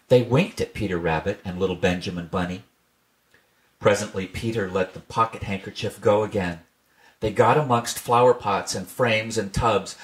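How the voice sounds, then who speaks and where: A man reading a classic children's storybook aloud in a gentle, measured storytelling manner. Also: fairly low pitch. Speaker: reader.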